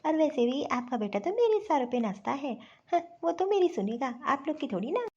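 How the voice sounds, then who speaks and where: A woman's voice: sits high, big pitch swings, brisk, dark tone. A woman playing a spoiled, scheming young city daughter-in-law in a Hindi family cartoon, with a whiny, bossy manner. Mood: bossy, smug. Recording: dull, lo-fi recording.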